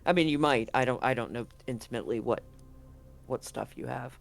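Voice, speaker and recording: A man's voice: mid-range voice, fairly quick, vocal fry. Man running a tabletop game. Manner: rambling, self-correcting descriptions. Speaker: game master.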